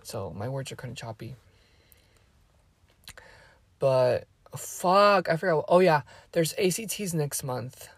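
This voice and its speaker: A woman's voice: extremely low pitch, no room sound. An eighteen-year-old woman whose first language is Spanish, chatting casually and rambling to her viewers as a vlogger. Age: teens. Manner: rambling, chatty, drifts off topic. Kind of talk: casual chat to camera.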